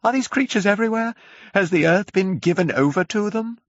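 A man voicing a panicked curate, half-mad with fear, wailing in a high, shrill voice. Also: lively intonation, brisk, dry recording, lo-fi sound. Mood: frantic.

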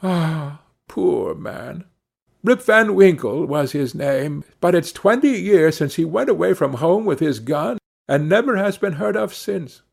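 A man voicing a young mother from a village in a storybook, brisk and plainspoken. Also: on the high side, lively intonation, gravelly, a little mellow, pitched above the performer's own voice, exaggerated voice. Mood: plaintive, matter-of-fact.

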